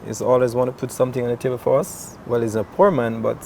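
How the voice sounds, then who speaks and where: A Jamaican man in his thirties, a talk show guest, speaking haltingly with fillers and restarts. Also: mid-range voice, steady tone, vocal fry, mellow. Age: 30s. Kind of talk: emotional talk-show conversation. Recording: distant mic with faint noise.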